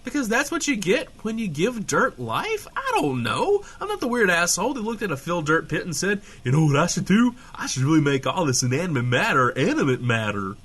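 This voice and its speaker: A man playing a glib, excitable small-town radio host in scripted comedy banter. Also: sits high, brisk, hardly any pauses, husky, exaggerated voice. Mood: explaining, flippant.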